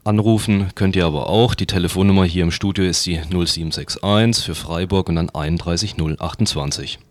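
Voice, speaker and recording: A man's voice: low voice, brisk. A man hosting a radio news magazine in an informal, somewhat hesitant way, speaking into a studio microphone. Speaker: radio host. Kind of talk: community radio news magazine presenting, partly scripted.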